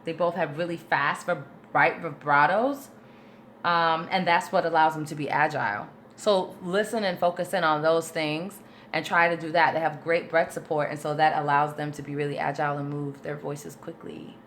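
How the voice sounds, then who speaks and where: Low-voiced woman vocal coach, chatty. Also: steady tone, moderate pace, a bit bright, slight background noise. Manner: chatty and informal, with many fillers; explains patiently, breaking things down step by step. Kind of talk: casual livestream Q&A, reading and answering viewer questions.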